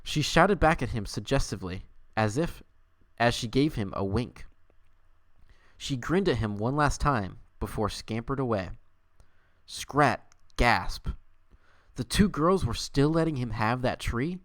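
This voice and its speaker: A man's voice: mid-range voice, lively intonation, unhurried, fairly bright tone, no echo. A man hosting a livestream, switching between dramatic story reading and casual, playful chat. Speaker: livestream host.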